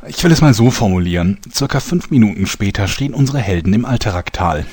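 A man's voice: on the low side, animated, fast, darkish tone, breathy edge, dry recording. A man playing the wry narrator of a comic fantasy radio play, reading scripted narration. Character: wry male storyteller of a fantasy parody.

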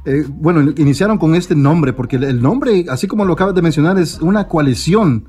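A man's voice: on the high side, a little fast, a little mellow, slightly rough. A man hosting a radio interview, enthusiastic and hesitant, with many fillers and restarts. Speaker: radio host.

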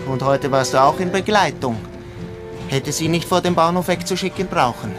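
A man's voice: on the high side, normal speed, music in the background, natural voice. An actor playing a jealous, reproachful young man in a German radio drama, speaking accusingly and insistently. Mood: angry, accusing. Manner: accusing, insistent, repeats himself. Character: jealous, reproachful young boyfriend confronting his girlfriend.

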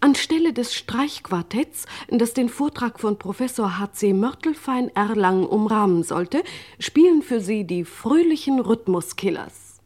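An actress playing a formal, deadpan female radio announcer in an old German radio comedy, politely apologetic and measured. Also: a little high. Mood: formal, straight-faced.

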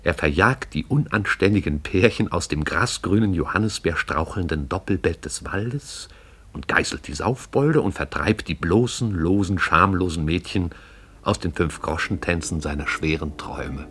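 Deep, husky male narrator. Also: a bit bright. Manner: lyrical, measured. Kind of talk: poetic radio drama narration.

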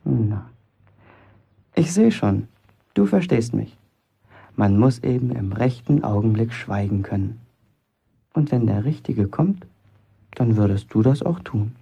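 An actor playing a cheeky, boastful young bricklayer in a German radio play, talking colloquially and defiantly. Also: male voice, mid-range voice, lively intonation, measured pace, vocal fry, long pauses, mellow, husky, heavily acted. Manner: colloquial, provocative, self-justifying.

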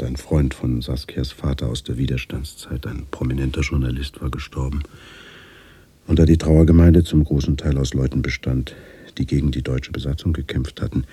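An actor playing a sober, middle-aged doctor who recalls his past in measured, reflective radio drama narration, with a husky, breathy voice. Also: extremely low pitch, fairly even intonation, warm tone, no echo, full character voice. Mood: reflective, subdued. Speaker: first-person narrator.

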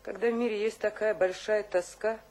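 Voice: mid-range voice, steady tone, a little fast, darkish tone, close to an everyday voice, low-quality recording. An actor playing a sorrowful, exalted young romantic in a poetic radio drama, with fervent declamation. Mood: wistful farewell.

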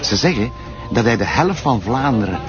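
An actor playing a cautious, good-humoured Flemish police sergeant in a radio drama. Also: male voice, medium pitch, sing-song, normal speed, natural voice. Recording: muffled old radio play recording.

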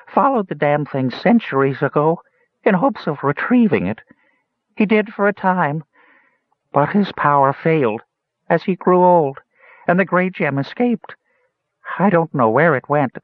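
A man's voice: fairly high pitch, no room sound, voice pushed up. A man voicing an unhappy, rambling toad who is a cursed wizard in a fantasy audiobook. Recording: slightly dull, lo-fi audio.

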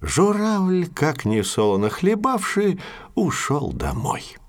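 Slow, expressive male fairy tale narrator. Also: a little high. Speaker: storyteller.

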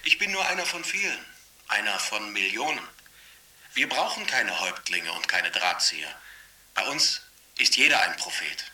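Piercingly bright, fervent young cult preacher. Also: male voice, fairly low pitch, very animated, normal speed, distant mic, heavily acted. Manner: rousing slogans, solemn promises. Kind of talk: propagandist sermon in radio drama.

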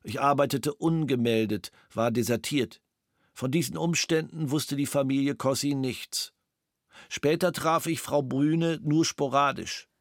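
A man's voice: medium pitch, a little slow, no echo, clean studio sound, natural voice. An actor reading the statement of a male witness in a formal, matter-of-fact way.

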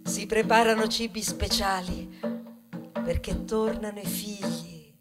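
An actress playing a proud, tender female corn cob in a poetic theatrical monologue, savouring her words with dramatic pauses. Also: medium pitch, fairly even intonation, lightly acted. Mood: hushed, warm. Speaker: stage actress. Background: music.

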